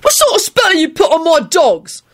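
A woman voicing an outraged, blustering male police constable in a narrated story, shouting indignantly. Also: very high-pitched, sing-song, normal speed, pitched above the performer's own voice. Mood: outraged, suspicious.

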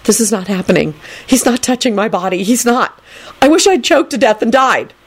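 Audiobook role: whiny, sarcastic young man, played by a woman, high and swooping, stammering, forever aggrieved. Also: animated, normal speed, husky. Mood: desperate. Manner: spluttering, stammering, high-pitched squeaks.

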